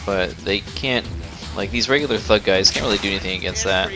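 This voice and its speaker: A young man giving casual gameplay commentary in a low-key, halting, unhurried way. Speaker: gamer, video host. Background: faint game music.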